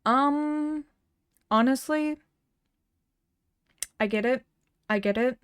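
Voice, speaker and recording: A woman's voice: high-pitched, takes it slowly, clear, resonant tone, dry recording, studio quality. A woman streamer in casual chat with her viewers, stuttering often and restarting words, laughing now and then. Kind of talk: casual livestream chat, reading chat messages and a story aloud.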